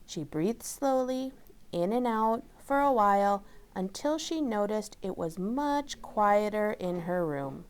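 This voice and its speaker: A woman's voice: medium pitch, lively intonation, slow pace, clean tone. A woman reading a children's story aloud as the narrator, in a scripted storytelling style.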